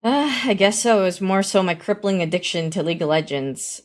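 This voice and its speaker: A woman's voice: mid-range voice, lively intonation, brisk. A woman in her thirties co-hosting a casual podcast, chatting and reminiscing at length. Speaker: podcast co-host. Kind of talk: casual chat.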